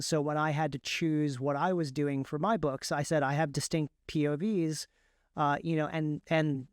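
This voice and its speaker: A man answering interview questions conversationally as a guest, explaining things in a halting, self-correcting way. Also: a little high, clear, resonant tone, dry recording, studio quality. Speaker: podcast guest, author and audiobook narrator.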